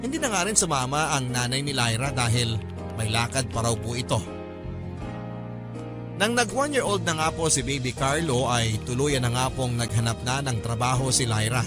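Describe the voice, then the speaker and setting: A male actor as a radio storyteller reads out a personal letter in a measured, polite way. His voice sits at a middle pitch, with music playing clearly behind it. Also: expressive, natural voice. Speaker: narrator reading a listener's letter in a radio drama. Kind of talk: scripted dramatic narration.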